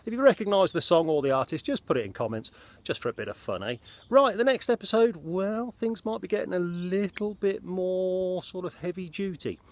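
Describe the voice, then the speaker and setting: A man's voice: high-pitched, animated, a little fast. A man with a blocked nose from a cold, chatting through a step-by-step DIY job on his narrowboat. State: congested with a cold. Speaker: vlogger. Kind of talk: casual DIY vlog.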